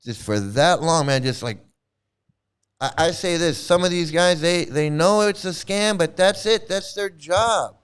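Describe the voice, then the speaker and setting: A man's voice: on the high side, unhurried, smooth. A middle-aged man ranting in casual commentary, profane and scornful, repeating words and trailing off into restarts. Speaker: commentary channel host.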